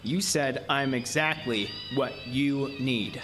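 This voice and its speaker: An actor playing a troubled, weary carnival show man in an audio drama, speaking in an intense, halting way. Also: medium pitch, over music, natural voice. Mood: wary, challenging. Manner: halting, intense, breaking off mid-thought. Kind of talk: audio drama acting with first-person narration.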